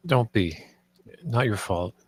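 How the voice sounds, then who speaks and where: A man's voice: lowish voice, lively intonation, slow, no room sound. A man reading the part of a downcast, subdued creative developer at a screenplay table read.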